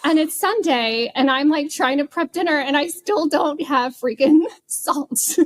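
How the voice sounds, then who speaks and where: A woman with a fairly high voice talks casually on a podcast. She tells small everyday stories in an animated way, poking fun at herself. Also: a little slow. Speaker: podcast panelist.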